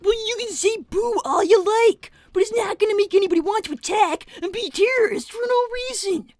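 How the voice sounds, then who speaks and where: A young cartoon fox boy played by a woman speaks in a tiny, very high voice. He is brainy but sensitive, sounding earnest, hesitant and worn down as he protests. The sound is dry and close. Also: fairly quick, darkish tone, no room sound. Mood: exasperated, protesting. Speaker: character performer on a livestream. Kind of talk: improvised in-character conversation.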